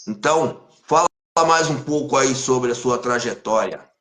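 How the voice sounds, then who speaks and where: A Brazilian man hosts a live-stream interview as a capoeira master, speaking very slowly and deliberately. His voice is mid-pitched and fatherly, heard over a video call. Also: expressive, a bit bright, echoey room.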